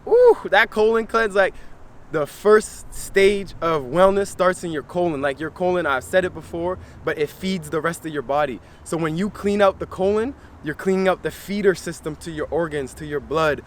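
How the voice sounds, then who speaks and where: A young man hosting a wellness channel, talking casually and earnestly to camera, repeating phrases for emphasis in relaxed slangy speech. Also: high voice, normal speed, a little hiss or hum. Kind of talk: casual motivational talk to camera.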